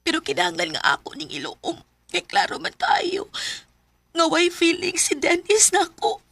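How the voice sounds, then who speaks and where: A woman with a Filipino accent playing a gentle, earnest, lovelorn teenage schoolgirl in a radio drama. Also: very high voice, huge pitch swings, moderate pace, over loud music, full character voice. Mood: hurt, wistful. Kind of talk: Philippine radio drama acting.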